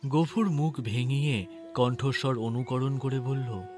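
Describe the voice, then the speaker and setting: A man narrating a literary story in a measured, expressive, dramatic way. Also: medium pitch. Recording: music bed under narration. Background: background music.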